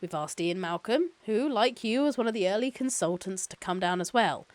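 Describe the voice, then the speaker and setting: A woman's voice: medium pitch, animated, normal speed, no room sound, lightly acted. A woman voicing an eager, effusive male corporate lawyer on the phone as she reads a novel aloud. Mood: upbeat, polite. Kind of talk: novel read-aloud dialogue.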